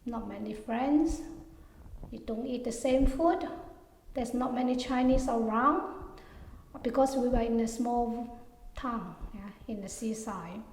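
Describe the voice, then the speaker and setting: Soft-spoken woman from Hong Kong in an echoey room. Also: on the high side, a little hiss or hum. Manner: hesitant, many fillers, soft trailing endings. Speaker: interviewee. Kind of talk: oral history interview. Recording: echoey room with long reverb.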